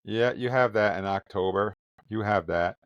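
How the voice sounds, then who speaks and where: A man's voice: on the low side, normal speed, clean tone, no room sound, lo-fi sound. A man hosting a casual podcast, introducing his co-hosts with an upbeat, jokey manner. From Vermont. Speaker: podcast host.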